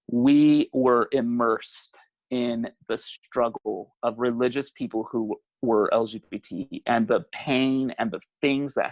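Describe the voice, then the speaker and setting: Guest, male, late 30s; open, thoughtful talker with easy laughs, mid-range pitch. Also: dry recording, low-quality recording. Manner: reflective, laughs often, swears casually. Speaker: guest, family therapist. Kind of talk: candid conversational interview.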